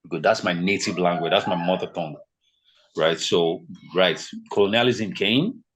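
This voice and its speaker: Brief: man in his thirties from Nigeria, Yoruba first language, very low voice, easygoing chatty guest. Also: moderate pace, a little hiss or hum, rough recording. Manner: relaxed, lots of fillers like "you know" and "man". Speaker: podcast guest. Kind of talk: casual conversation.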